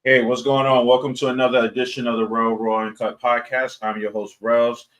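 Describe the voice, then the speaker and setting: A man's voice: medium pitch, level tone, brisk, a bit bright. A man hosting a casual podcast interview, speaking in a hesitant, loosely improvised way. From New York, United States. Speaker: podcast host.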